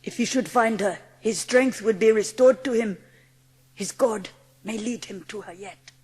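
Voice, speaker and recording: A woman voicing a gentle, watchful Indian manservant with formal, reverent phrasing in a dramatised audiobook. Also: medium pitch, unhurried, big-room sound, lightly acted. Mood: quiet, assured.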